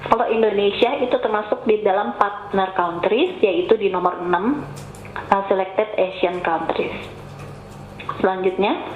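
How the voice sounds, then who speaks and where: A woman's voice: mid-range voice, fairly even intonation, unhurried. A woman with a cough giving a patient, explanatory webinar presentation over a remote call. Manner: patient, explanatory, addresses audience politely. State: has a cough. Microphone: remote call mic. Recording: tinny, echoey online call audio.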